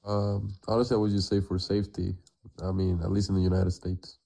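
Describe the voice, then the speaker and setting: Young man, non-native English, low and flat, hedging. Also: fairly quick, creaky. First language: not English. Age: 20s. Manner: tentative, hedging. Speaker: debate guest.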